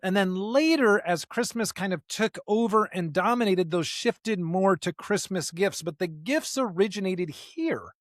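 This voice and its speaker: A man's voice: high voice, animated, a little slow, few pauses, dry recording, clean studio sound. A man co-hosting a podcast, reflecting on faith conversationally in an earnest, emphatic way, with rhetorical questions. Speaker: podcast co-host. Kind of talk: conversational, reflective religious discussion.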